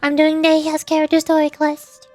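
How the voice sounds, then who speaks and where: High-voiced woman streaming a game. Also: completely flat delivery, normal speed, darkish tone, no room sound. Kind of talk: casual livestream chat over gameplay.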